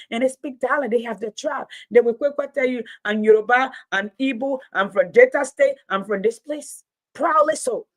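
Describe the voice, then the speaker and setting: A woman with a Liberian accent, a teacher and former radio host, giving an emphatic, passionate talk that often repeats words for stress. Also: fairly high pitch. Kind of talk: impassioned public talk.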